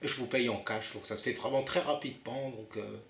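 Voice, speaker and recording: A man's voice: mid-range voice, steady tone, brisk, creaky, fairly bright tone. A man making an improvised prank phone call as a buyer, insistent and bossy, often cutting in. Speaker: prank caller posing as a car buyer. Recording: roomy echo, faint noise.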